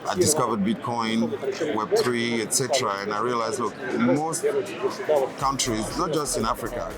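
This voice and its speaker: A man's voice: medium pitch, normal speed, over a noisy crowd. A man from Cameroon, a company founder being interviewed, talking freely and often checking in with "right?" and "you know". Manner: talkative, fluent, leans on "right?" and "you know". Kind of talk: casual interview. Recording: loud music behind the voice.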